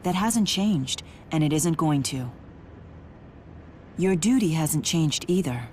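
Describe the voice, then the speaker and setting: A woman playing a resolute, caring young warrior woman in a video game, speaking in a measured, earnest way. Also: sits low, lively intonation, darkish tone, slight background noise. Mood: earnest, consoling. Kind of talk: dubbed video game cutscene acting.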